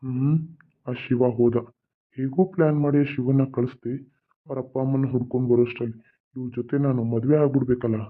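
A man's voice: mid-range voice, little pitch movement, a little fast, close to an everyday voice. A man voicing a scheming adult male village villain who mocks and threatens. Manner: sneering, mocking, menacing. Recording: tinny phone-like sound.

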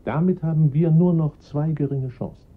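An actor playing a formal, calm police inspector who explains the case patiently. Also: male voice, a little high, narrow, tinny audio, lightly acted. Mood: measured. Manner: measured, formal, reassuring. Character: calm, dutiful middle-aged male police inspector. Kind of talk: radio drama acting.

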